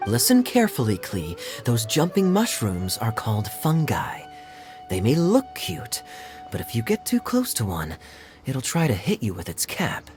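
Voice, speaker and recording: A man voicing a suave, teasing knight captain in a video game, smooth and wry, with music behind. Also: mid-range voice, huge pitch swings, measured pace. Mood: amused.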